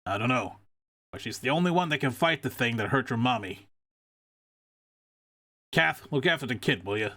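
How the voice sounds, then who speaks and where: A man's voice: medium pitch, animated, moderate pace, pauses often, pushed and bright. A man voicing a determined policeman father in a video game, reading his dialogue aloud. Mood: resolute. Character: gruff, determined policeman father searching for partner.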